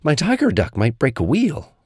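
An eager, fretful little tiger from a children's storybook, acted by a male reader. The voice exclaims and wheedles, lifting well above a grown man's register and swooping widely, with a husky grain. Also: a little high, wildly swooping pitch, normal speed, a little mellow, no echo, studio quality, voice pushed up, heavily acted. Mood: anxious.

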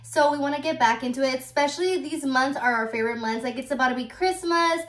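A woman's voice: high-pitched, fast, fairly bright tone. A woman in her twenties chatting casually on a beauty vlog, filled with "like", recorded on a phone in a bedroom. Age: 20s. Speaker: YouTuber. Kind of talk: casual chat. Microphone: phone camera. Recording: echoey room.

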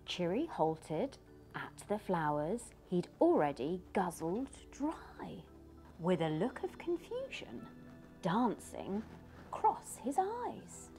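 A middle-aged woman reading a picture book aloud to young children in an expressive, teacherly way. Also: fairly low pitch, takes it slowly. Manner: asks listeners simple questions, teacherly. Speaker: children's storybook reader. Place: furnished living room by a fireplace. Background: music.

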